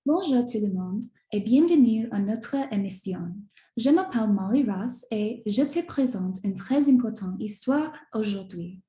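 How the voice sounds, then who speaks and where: Brief: woman show host, mellow tone, reading a script, roomy echo. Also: mid-range voice, animated, measured pace, few pauses. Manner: scripted, read-aloud. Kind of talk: scripted talk show hosting.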